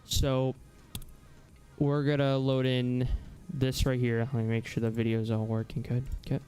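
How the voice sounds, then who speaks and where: A man hosting a casual live software demonstration, talking haltingly as he searches for words. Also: medium pitch, level tone. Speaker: livestream host. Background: music.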